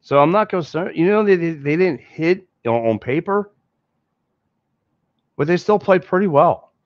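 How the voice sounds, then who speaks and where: A man's voice: fairly high pitch, lively intonation, measured pace, dry recording. A man in his thirties with a Philadelphia accent, hosting a casual postgame sports podcast and rambling with frequent repeats and restarts. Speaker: podcast host. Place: small indoor room in front of a green screen. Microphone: headset mic. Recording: dull lo-fi dry sound.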